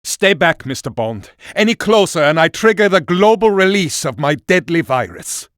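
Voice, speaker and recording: A man's voice: a little high, very animated, moderate pace, a bit bright, no echo, clean studio sound. A man playing a menacing, melodramatic supervillain in a video game. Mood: threatening. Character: threatening male supervillain with deadly scheme. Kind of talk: video game villain dialogue.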